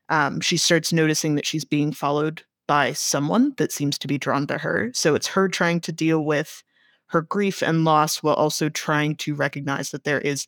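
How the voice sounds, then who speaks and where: A woman's voice: deep voice, fairly bright tone, no echo, studio quality. A woman speaking as an interview guest, explaining her creative work in a casual conversational way.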